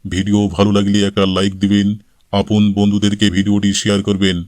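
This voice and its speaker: A man narrating a scripted cartoon story in a measured manner. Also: lowish voice, monotone, darkish tone, no room sound.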